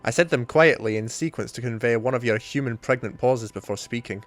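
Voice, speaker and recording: A man's voice: medium pitch, brisk, soft background music, close to an everyday voice. A man voicing a prim alien woman with a Scottish accent, formal, fussy and wordy.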